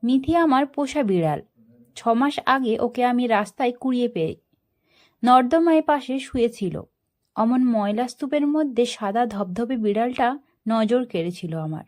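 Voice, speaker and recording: High-pitched woman narrating a story, very lively. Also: moderate pace. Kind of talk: dramatic first-person story narration.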